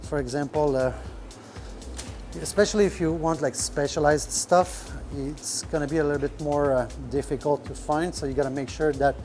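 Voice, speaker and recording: Man talking shop, halting. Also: a little high, steady tone, darkish tone, faint music behind. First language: French. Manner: rambling, many fillers, searches for words. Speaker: restaurant owner. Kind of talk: casual podcast talk. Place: restaurant kitchen.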